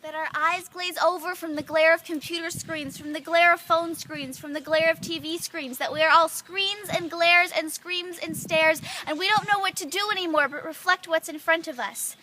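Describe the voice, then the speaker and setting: A woman's voice: very high-pitched, fairly even intonation, moderate pace, hardly any pauses, sharp tone. A young woman reciting slam poetry in an earnest, rhythmic way, leaning on repeated phrases. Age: young adult. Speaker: student poet.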